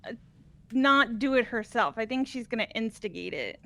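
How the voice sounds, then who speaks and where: A woman with a fairly high voice talks casually about a game. She sounds conversational, as among friends. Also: animated, normal speed, vocal fry, fairly bright tone. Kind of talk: casual gaming chat.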